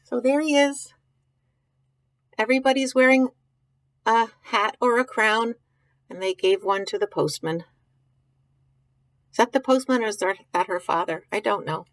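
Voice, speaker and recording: A woman's voice: fairly high pitch, unhurried, long pauses, no echo. A woman reading a picture book aloud to young children, stopping to ask questions, muse aloud and explain things simply. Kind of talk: read-aloud with informal commentary to young children.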